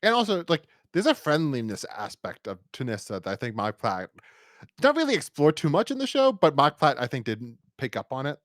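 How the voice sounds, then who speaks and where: A man's voice: fairly high pitch, big pitch swings, brisk, fairly bright tone, no echo, clean studio sound. A man co-hosting a podcast, talking casually and in a rambling way as he reviews and acts out imagined lines. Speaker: podcast co-host.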